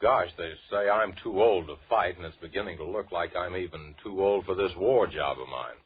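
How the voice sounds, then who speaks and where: Radio ad part: a weary aging working man, very husky, plaintive, on tinny 1940s recording. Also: deep voice, normal speed. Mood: weary, discouraged. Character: discouraged, worn-out older working man in a radio ad. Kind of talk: 1940s radio commercial acting.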